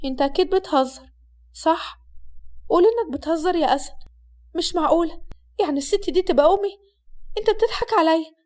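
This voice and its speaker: A woman's voice: very high voice, racing, a little mellow, pitched above the performer's own voice. A woman voicing a distraught young bride, pleading and agitated.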